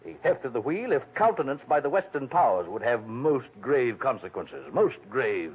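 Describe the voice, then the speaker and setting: A man's voice: medium pitch, sing-song, moderate pace, husky. An actor playing a pompous, genteel, scheming male villain with florid, effusive phrasing in a 1940s radio drama. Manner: florid, effusive, chuckling, hesitant polite phrasing. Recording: tinny band-limited old radio recording.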